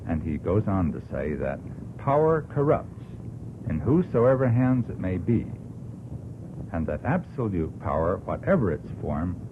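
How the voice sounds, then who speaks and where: An American man argues earnestly on a radio talk show, phrasing deliberately and emphatically like a practised orator. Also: low voice. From United States. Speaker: panel guest. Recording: noisy, tinny old radio broadcast recording.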